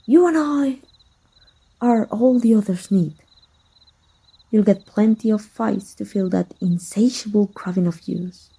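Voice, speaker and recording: A woman playing a jealous, possessive villain in an intimate ASMR roleplay, soft-spoken, teasing and menacing. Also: medium pitch, measured pace, creaky, pauses often, breathy edge, full character voice. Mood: possessive, coolly menacing.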